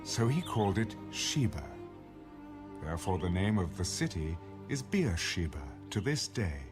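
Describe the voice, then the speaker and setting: Low expressive male scripture narrator. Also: measured pace. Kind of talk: dramatic audiobook narration. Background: music.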